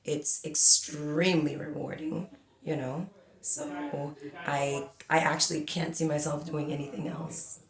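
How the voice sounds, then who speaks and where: A woman's voice: low voice, lively intonation, a little slow, roomy sound, crowd noise. A woman in her forties from the Bahamas, a guest in an interview, chatty and talking at length with lots of fillers. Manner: chatty, talks a lot with many fillers. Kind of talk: casual interview.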